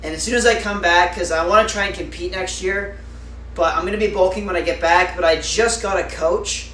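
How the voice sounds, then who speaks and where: A man's voice: high voice, brisk, pushed and bright, recorded from a distance. Young man chatting off-mic in an echoey room. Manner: chatty, rambling with fillers, answering viewers. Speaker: livestreamer. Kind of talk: casual live-stream chat. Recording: roomy echo, off-mic, faint noise.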